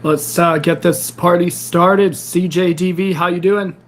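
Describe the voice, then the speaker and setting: A man hosting a casual live trading stream, upbeat and greeting viewers by name, his voice a bit raspy and hoarse after losing it. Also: sits high, fairly even intonation, measured pace, a little hiss or hum. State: slightly hoarse, recovering from a lost voice. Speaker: crypto trading livestream host. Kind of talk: casual livestream chat.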